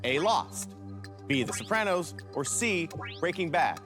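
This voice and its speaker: Lively, upbeat male game show host. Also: a little high, a little slow. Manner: energetic, announcer-like delivery. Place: TV studio. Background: background music.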